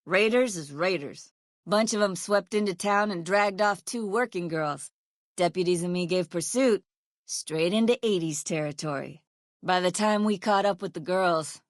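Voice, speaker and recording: Woman voicing tough female ex-sheriff, game character, mid-pitched, blunt, foul-mouthed, sardonic, folksy grammar, close dry recording. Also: no room sound, close to an everyday voice. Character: hard-bitten, foul-mouthed female caravan guard and ex-sheriff. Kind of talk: video game character voice lines.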